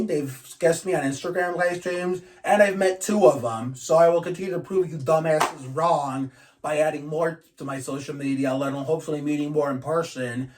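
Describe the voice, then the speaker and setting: A man's voice: mid-range voice, recorded from a distance. A man ranting casually to his channel, blunt and profane, rambling with frequent restarts. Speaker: YouTuber. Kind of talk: casual vlog rant.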